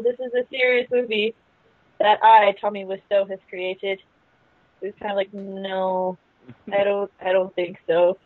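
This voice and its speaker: A woman's voice: fairly high pitch, dry recording. A woman on a panel reviewing films in casual chat, recorded over a thin internet call. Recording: dry phone-like internet call.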